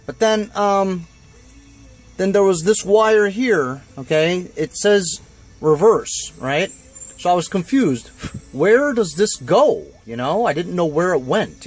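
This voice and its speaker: A man talking casually through a hands-on how-to in a blunt, colloquial, grumbling way. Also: mid-range voice. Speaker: DIY how-to video maker. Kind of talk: casual hands-on tutorial chat. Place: in and around a cargo van.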